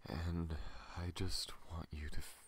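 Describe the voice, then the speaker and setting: A man voicing a tender, teasing alien in an intimate ASMR roleplay, breathy and husky, often dropping to a whisper, with hesitant pauses. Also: low-pitched, level tone, heavily acted. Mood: tender, soft. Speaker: voice actor in a roleplay.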